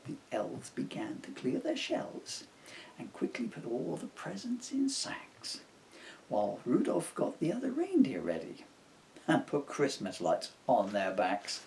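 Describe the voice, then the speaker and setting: A man reading his own rhyming children's book aloud as an expressive storyteller. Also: medium pitch, a little slow, gravelly, slight background noise, low-quality recording.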